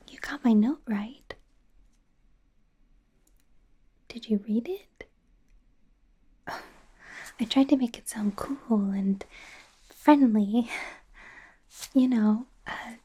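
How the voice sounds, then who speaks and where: A woman playing a friendly, anxious young werewolf medical student in an ASMR roleplay, whispering in a nervous, hesitant ramble with stammered repeats. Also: on the high side. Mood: frantic, worried. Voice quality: breathy soft whisper.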